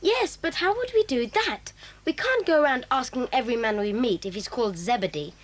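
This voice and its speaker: Woman playing a lively, eager schoolboy. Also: high voice, fairly quick. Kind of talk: 1980s children's audio drama.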